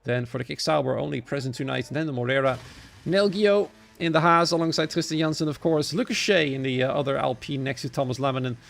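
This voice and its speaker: A male esports commentator with a Dutch accent calls sim racing live on his own. His voice sits at an average male pitch, with a strong creak running through it. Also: steady tone, fast talker. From Netherlands.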